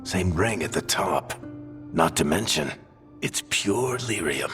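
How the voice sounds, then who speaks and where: Deep, slow, weathered storyteller. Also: male voice, lively intonation, fairly bright tone, exaggerated voice. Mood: urgent. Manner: dramatic, unhurried storytelling. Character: weathered middle-aged male storyteller companion, grave and worried. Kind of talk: video game dialogue and narration. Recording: dramatic music behind. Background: music.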